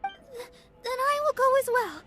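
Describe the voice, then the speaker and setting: A woman voicing a timid, gentle and anxious young church girl in a video game, hesitant in her speech. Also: very high voice, animated, measured pace, slight background noise, full character voice. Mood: anxious protest. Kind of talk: video game dramatic acting.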